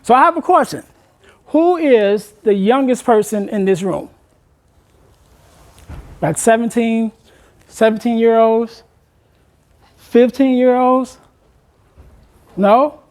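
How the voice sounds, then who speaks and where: A man, a motivational speaker addressing a room of high school students, asking them questions and urging them to take part. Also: slow pace, long pauses. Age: 40s. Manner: engaging the audience with questions, conversational and encouraging.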